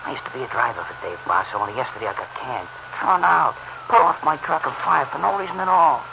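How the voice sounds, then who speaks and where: A man as fast-talking, resentful informant. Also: medium pitch, gravelly, close to an everyday voice. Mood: vengeful, eager. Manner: conspiratorial, slangy, insistent. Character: resentful, sly fired male truck driver turned informant. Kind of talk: old-time radio crime acting. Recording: noisy muffled old radio recording.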